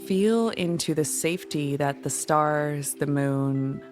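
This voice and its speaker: A woman leading a guided meditation in a soothing, gentle, unhurried manner. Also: low-pitched. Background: music.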